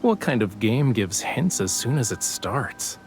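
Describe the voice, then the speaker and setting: A man's voice: medium pitch, sing-song, a little slow, over music. A man playing a teasing, smug young painter, the playful romantic lead of a video game. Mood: playful challenge. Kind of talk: English game voice acting.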